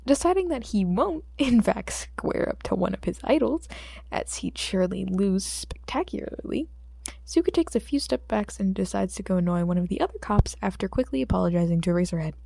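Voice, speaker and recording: Female fiction narrator: expressive scripted read, relaxed mellow timbre, very dry close sound. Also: mid-range voice, fairly quick, vocal fry, no echo. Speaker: audiobook narrator.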